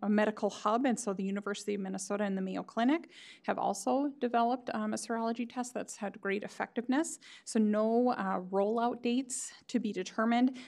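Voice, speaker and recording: A woman's voice: medium pitch, creaky. A woman public health official giving a board meeting briefing over a video call, hesitant with many fillers.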